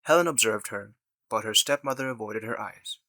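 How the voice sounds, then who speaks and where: Male narrator, bright forceful tone, medium pitch, scripted storytelling, very dry close sound. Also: normal speed, no room sound.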